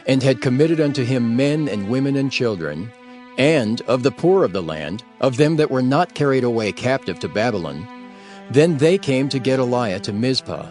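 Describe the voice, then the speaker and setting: A man narrating scripture from a script as an audio Bible reader, formal and solemn. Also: mid-range voice.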